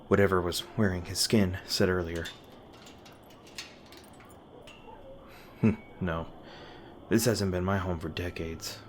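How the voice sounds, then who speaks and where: A man playing a weary, guarded monster hunter in an audio roleplay, gruff but caring, with halting, sardonic, sweary speech. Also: deep voice, close to an everyday voice. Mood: subdued, wistful. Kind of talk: audio roleplay, one-sided dialogue to the listener. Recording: noisy ambient sound effects behind voice. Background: ambient sound effects.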